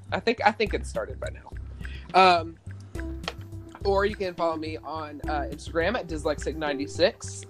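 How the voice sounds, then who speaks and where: Chatty Kentucky man hosting a podcast. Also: on the high side. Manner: chatty, rambling, quick to laugh. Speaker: podcast host. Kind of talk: casual podcast chat.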